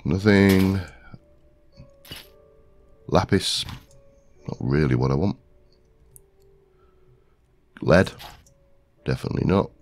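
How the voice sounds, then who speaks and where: A man's voice: low-pitched, slow, pauses often, a little mellow, soft background music. A man with a stuffy head cold, hosting a gaming video with casual, rambling commentary and lots of ums. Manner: rambling, talks to himself while searching, many ums.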